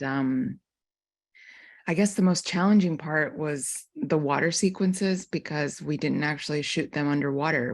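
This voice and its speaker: A woman from North Carolina speaks as a filmmaker on a panel, her voice notably low for a woman. She talks thoughtfully and loosely, pausing on ums and likes as she explains her work. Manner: reflective, many ums and likes. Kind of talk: casual panel answer.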